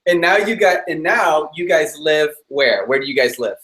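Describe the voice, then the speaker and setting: A man's voice: a little high, animated, moderate pace, fairly bright tone, reverberant. A man hosting a live interview from his office, talking eagerly and chatting with a ready laugh. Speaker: host. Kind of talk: upbeat live-stream interview. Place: his own office room.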